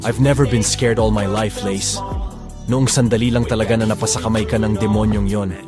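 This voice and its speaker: Guy acting as devoted bodyguard in a romance audio drama, deep-ish voice, intense n earnest, music behind. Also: fairly even intonation, moderate pace, lightly acted. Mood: shaken, tender. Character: devoted young male bodyguard in love, protective, earnest.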